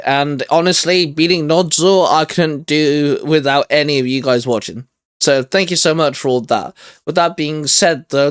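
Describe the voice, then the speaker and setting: A man's voice: on the high side, smooth. A man hosting a geek channel, chatting and making announcements in an informal, mildly sweary way. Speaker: host of a geek YouTube channel.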